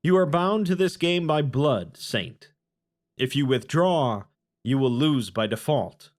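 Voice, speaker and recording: A man's voice: fairly high pitch, expressive, slow pace. A man voicing a cunning, name-stealing fae creature who speaks in formal, taunting phrases. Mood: cold, taunting.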